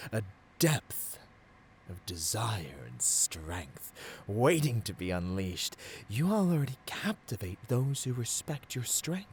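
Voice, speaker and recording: Man playing a slow, seductive arrogant supervillain. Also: low voice, darkish tone, slight background noise. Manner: slow, silky, grandiose, slipping into breathy whispers. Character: seductive, arrogant male supervillain tempting a hero. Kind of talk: ASMR roleplay, theatrical monologue.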